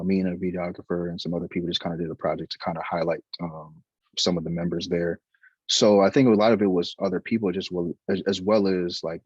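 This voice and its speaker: A man's voice: low-pitched, slightly rough, no room sound, dull audio. A young man from Liberia, a photographer talking casually about his career, hesitant with many fillers and restarts. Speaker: photographer, interview guest.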